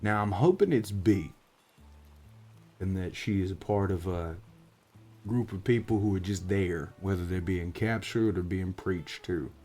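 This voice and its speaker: Man playing a low-voiced orc detective. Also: unhurried, mellow, soft background music. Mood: serious, explaining. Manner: folksy, drawling, wry, plain-spoken. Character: gruff, world-weary male orc warlock private investigator. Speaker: voice actor in roleplay audio. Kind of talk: soft-spoken in-character audio roleplay.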